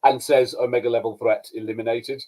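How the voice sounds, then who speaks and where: A man's voice: medium pitch, fairly even intonation, a little fast, dark tone. A man co-hosting a podcast, discussing things casually as a fan. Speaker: podcast co-host.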